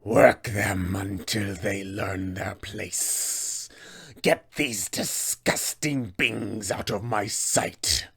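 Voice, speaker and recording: A man voicing a cold, menacing serpent-man warlord, a fallen paladin, hushed and deliberate, for a fantasy tabletop game. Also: lowish voice, gravelly, slightly rough, voice pushed down, full character voice. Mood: disdainful command. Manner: slow, deliberate, imperious.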